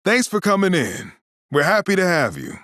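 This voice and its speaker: Lively, hearty saloon keeper. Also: male voice, high-pitched, moderate pace, no echo, clean studio sound, full character voice. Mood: welcoming, hearty. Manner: hearty sales patter. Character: welcoming saloon keeper pitching a health drink.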